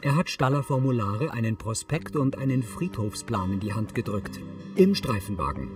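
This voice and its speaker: A man's voice: medium pitch, big pitch swings, moderate pace, over music. A man reading scripted audio description in the role of narrator.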